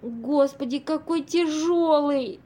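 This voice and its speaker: Woman playing high-voiced, fretful, kind-hearted hostess. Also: takes it slowly, dark tone. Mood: exasperated. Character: exasperated, kind-hearted single woman hosting an unwelcome ghostly guest. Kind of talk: amateur audio play acting.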